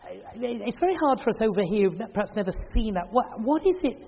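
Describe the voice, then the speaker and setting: A man's voice: high voice, animated, brisk, muffled recording. A man hosting a church event, chatting and joking with the audience in a hall. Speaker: event host and speaker. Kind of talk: casual chat at a church event.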